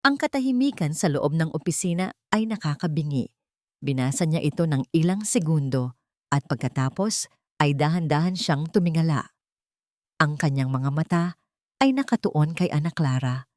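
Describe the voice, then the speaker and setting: A woman with a low voice narrates a dramatic audiobook. Her phrasing is solemn and deliberate, and the sound is dry, with no room echo. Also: animated. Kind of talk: scripted dramatic story narration.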